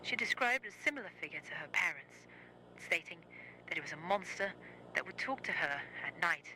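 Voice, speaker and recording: A formal news reporter played by a performer reads a scripted horror-series bulletin in a measured way. The voice is very sharp and bright, with faint noise on the recording. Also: medium pitch, expressive, rough recording. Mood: grave, formal.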